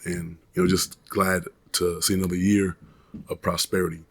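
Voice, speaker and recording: A hoarse man in his thirties rambles through a casual microphone review from his desk at home. His voice sits low with a gravelly fry, and the sound is close and dry with no room echo. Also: level tone, moderate pace. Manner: rambling, many restarts and fillers. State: hoarse, recovering from a cold. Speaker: product reviewer. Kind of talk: casual product review vlog. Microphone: desk condenser USB mic.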